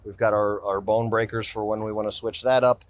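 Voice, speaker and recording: A man's voice: on the low side, level tone, a little fast, no echo. A man in his forties with an American accent, talking casually about his everyday carry gear while seated in a city park, rambling and restarting sentences. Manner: rambling, self-interrupting, enthusiastic about gear. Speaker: gear company owner and vlogger. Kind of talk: casual gear-review vlog talk. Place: outdoor city park, seated on stone ledge. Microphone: camera on tripod a few feet away, handheld close-ups.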